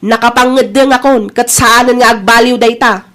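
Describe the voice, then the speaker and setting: Actress as high-voiced, fed-up young Ilocano wife. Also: female voice, steady tone, normal speed, a bit bright, close to an everyday voice. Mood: resolute. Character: fed-up young wife and mother, defiant, resolved to leave. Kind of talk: Ilocano audio drama acting.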